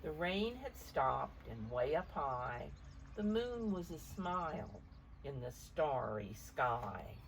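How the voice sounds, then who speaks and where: Low-pitched woman reading expressively to preschoolers. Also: slow pace, a little hiss or hum, rough recording. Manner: expressive, explains pictures in simple words. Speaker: storybook reader for preschoolers. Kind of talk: read-aloud picture book with simple asides to young children.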